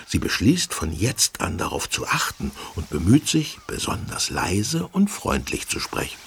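A man narrating a children's story in an expressive, dramatic, husky voice. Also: low-pitched, unhurried, slight background noise, exaggerated voice. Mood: vivid, suspenseful. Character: lively male storyteller.

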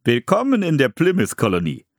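A man's voice: medium pitch, wildly swooping pitch, brisk, no room sound, clean studio sound, voice pushed up. A man voicing a friendly, formal colonial governor in an audiobook. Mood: welcoming.

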